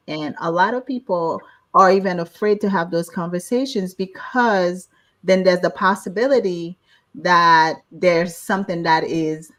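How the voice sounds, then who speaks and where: A woman who hosts a casual podcast conversation and asks her guest questions at a measured pace. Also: fairly low pitch, a bit bright. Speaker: podcast host.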